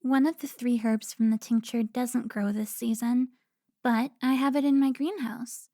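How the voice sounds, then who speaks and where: A woman's voice: fairly high pitch, fairly even intonation, moderate pace, warm tone, no room sound, clean studio sound, lightly acted. A woman playing a sly, seductive female vampire informant who teases and taunts in a cinematic audio roleplay. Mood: brisk, wry.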